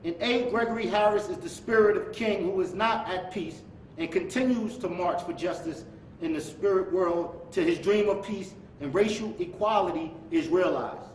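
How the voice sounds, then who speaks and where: High-voiced man hosting in an echoey room. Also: moderate pace. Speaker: event host introducing a stage reading. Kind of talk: formal public introduction. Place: live event hall. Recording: echoey room, distant mic, faint noise.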